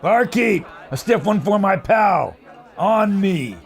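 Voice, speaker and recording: A man's voice: high voice, expressive, slow, full character voice. An actor playing a brash, folksy, confident adventurer leading a party in a pulp radio adventure, hearty and persuasive as a salesman. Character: brash, jolly, confident male adventurer and leader.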